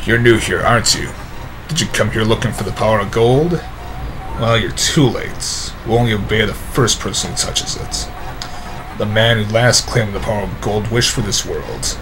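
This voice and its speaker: A man's voice: on the low side, moderate pace, lightly acted, poor audio. A man voicing a regretful cursed creature in a video game, reading in a subdued, explanatory way. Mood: rueful. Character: regretful cursed creature stuck in bizarre shape. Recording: game music behind the voice.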